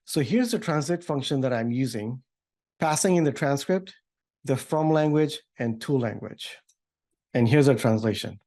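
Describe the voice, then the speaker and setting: A man giving an informal, upbeat software demo walkthrough as a developer advocate. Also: medium pitch. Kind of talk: tech demo walkthrough, semi-scripted.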